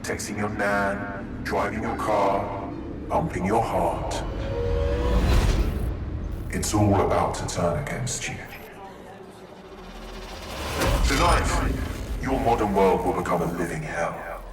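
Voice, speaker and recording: An actor playing an anonymous hacker villain in a video game, making an ominous, deliberate address to a city. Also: male voice, low-pitched. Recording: echoing broadcast under loud music.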